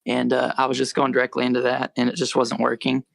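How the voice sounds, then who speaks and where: Young american musician guy, quiet voice, loose rambly chat, dry mic. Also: medium pitch, fast talker, creaky, no room sound. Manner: rambling, self-correcting, relaxed. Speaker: musician guest. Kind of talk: casual podcast interview.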